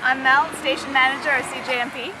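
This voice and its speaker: A cheerful woman with a bright, high voice talks casually about her station's fundraiser. She is outdoors in a sunny parking lot, recorded close up on a handheld camera. Traffic and street noise run clearly underneath. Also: fairly even intonation, fast talker. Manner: upbeat, chatty, with fillers. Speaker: radio station manager. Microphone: handheld camera close to the face.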